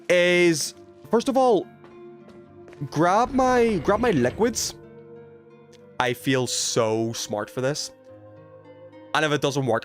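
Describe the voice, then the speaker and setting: A man doing casual, excitable gaming commentary, thinking aloud in slang as he chats with stream viewers. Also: on the high side. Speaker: video game livestreamer.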